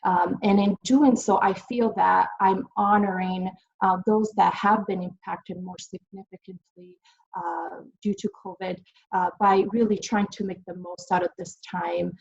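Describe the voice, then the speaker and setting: A woman from Italy, giving a webinar presentation over a video call and filling pauses with frequent ums and uhs. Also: medium pitch, fairly even intonation, creaky, darkish tone, slightly rough. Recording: dull lo-fi video call audio.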